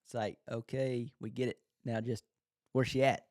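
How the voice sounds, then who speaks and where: A man's voice: medium pitch, little pitch movement, normal speed, a little mellow, no echo, studio quality. A man co-hosting a podcast, talking casually as he reacts to a TV show. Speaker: podcast co-host.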